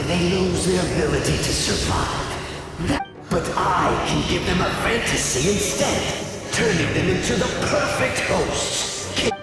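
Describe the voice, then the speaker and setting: A man's voice: high-pitched, recorded from a distance, heavily acted. An actor playing a scheming male dream-eating spirit in a video game, smug and theatrical, with a silky, gloating delivery. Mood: zealous. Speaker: villain. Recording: roomy reverberant sound from afar with loud game music.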